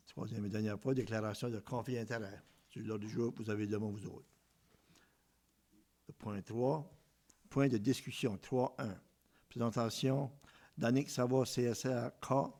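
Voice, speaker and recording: A man's voice: medium pitch, mellow. A man with an Acadian New Brunswick French accent, formally reading out an agenda as the chair of a meeting.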